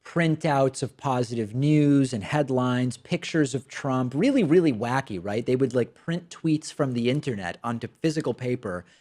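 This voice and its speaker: A man of middling pitch, a native Spanish speaker who hosts a political commentary show. He delivers his monologue in a sardonic, incredulous and emphatic way. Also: animated, normal speed, hardly any pauses, a little mellow. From Spanish-speaking country, raised in the United States from childhood. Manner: reads a sponsor ad.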